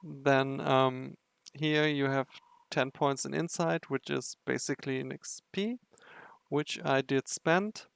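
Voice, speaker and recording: A man's voice: mid-range voice, steady tone, slow pace, gravelly, clean tone, dry recording. A man giving casual playthrough commentary on a game, speaking in a hesitant way as he thinks aloud. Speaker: game video narrator and player.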